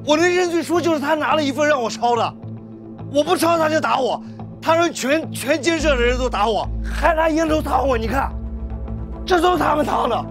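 A man's voice: extremely high pitch, a little fast, music in the background. An actor playing a distressed male prisoner in a film, pleading and insistent as he gives his account. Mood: anguished, pleading. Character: distressed male prisoner recounting being beaten.

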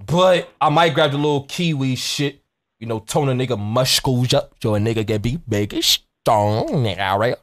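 A man's voice: medium pitch, expressive, moderate pace. A young American man making a prank call in brash, slangy, confrontational banter, swearing and repeating himself. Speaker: prank caller and streamer. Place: indoor gaming setup.

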